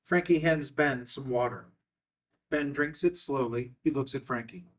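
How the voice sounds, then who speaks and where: Guy reading screenplay stage directions, medium pitch, bit far from the mic. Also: unhurried. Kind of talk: scripted screenplay narration. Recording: distant microphone.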